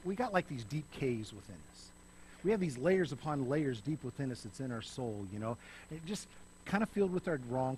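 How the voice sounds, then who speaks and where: A middle-aged man preaching on a church stage, swinging between loud emphasis and soft, hushed passages. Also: a little high, slight background noise, rough recording. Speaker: pastor. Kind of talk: sermon. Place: church stage, in front of a lit backdrop.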